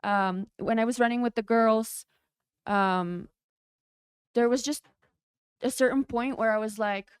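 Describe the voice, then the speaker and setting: A woman in her thirties from the Czech Republic, interviewed as a race champion, laughing often and pausing on fillers. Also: fairly high pitch, smooth, dry recording, studio quality. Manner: giggly, hesitant, many fillers. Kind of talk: interview answers. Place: race broadcast studio.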